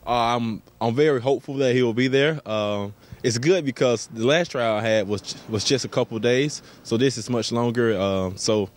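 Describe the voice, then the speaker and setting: A man's voice: mid-range voice, moderate pace, hardly any pauses. An American man, an athlete, answering interview questions casually with thoughtful, hesitant phrasing. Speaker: professional basketball player. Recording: clear background ambience noise.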